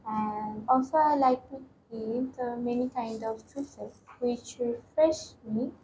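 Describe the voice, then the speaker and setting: Casting: teen girl, high voice, slow halting answers, faint background hiss. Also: darkish tone, low-quality recording. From Bangladesh. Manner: hesitant, frequent ums and pauses. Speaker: test candidate. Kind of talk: mock speaking exam answers.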